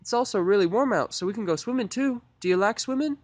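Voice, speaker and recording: An earnest little filly acted out by a man, pitched far up from a normal male range. The speech is eager and folksy, like a cartoon farm kid in a story reading, recorded close and dry. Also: expressive, fast, no echo. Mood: enthusiastic. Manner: pleading, earnest, folksy country grammar. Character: eager, earnest young female pony filly, country-raised.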